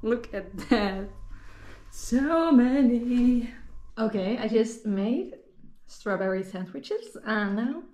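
A woman's voice: on the high side, expressive, slow pace, dark tone. Giggly young woman in echoey kitchen. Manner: chatty, giggly, self-deprecating. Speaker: vlogger. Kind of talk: casual food-tasting vlog. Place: home kitchen. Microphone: camera at arm's length. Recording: echoey room.

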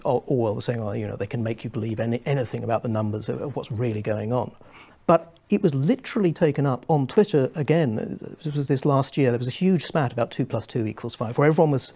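A young man on a studio panel, explaining at length in a measured way with many restarts. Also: medium pitch, gravelly, dark tone. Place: small studio set with armchairs.

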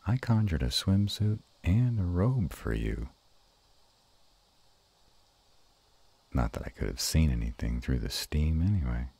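A man playing an affectionate, bashful, gruff were-dragon lover in an intimate romantic roleplay audio, with a slow, teasing murmur. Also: lively intonation, warm tone, full character voice. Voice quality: very deep and husky.

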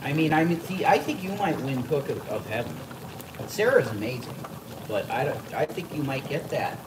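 Man, off-camera co-host, gushing and lively, far from the phone mic in a roomy kitchen. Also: medium pitch, normal speed. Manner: gushing, exclamatory. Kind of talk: enthusiastic casual commentary. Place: home kitchen, indoors. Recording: roomy, distant and noisy kitchen.

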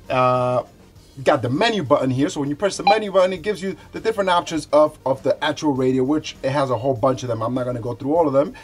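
A man in his forties giving a casual, unscripted product review in a conversational, halting way, often repeating words as he goes. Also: medium pitch. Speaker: product reviewer, show host. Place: small indoor room with shelves.

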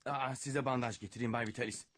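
A man's voice: mid-range voice, steady tone, fast, fairly bright tone, lightly acted. An actor in a cartoon dub playing a concerned, helpful father who speaks courteously and is anxious to help. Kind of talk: animated cartoon dub acting.

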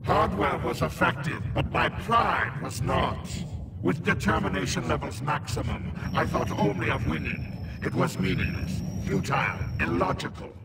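Casting: vengeful game cyborg, stilted and literal, swooping gravelly delivery, distant amid loud engine noise. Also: male voice, a little high, expressive, measured pace. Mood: proud, vengeful. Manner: stilted robotic diction, reads punctuation and stage directions aloud. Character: vengeful cyborg assassin, human brain in a robot body. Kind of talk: video game cutscene acting.

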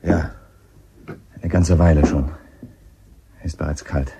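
An actor in a German radio drama playing a shrewd, relentless police inspector, husky and insistent as he questions. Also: a bass voice, steady tone, unhurried, noisy background. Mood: businesslike. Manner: probing, insistent.

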